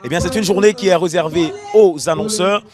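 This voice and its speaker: A man reporting from a festival, speaking in a measured way with frequent hesitations. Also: high voice, over music. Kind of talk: news report narration.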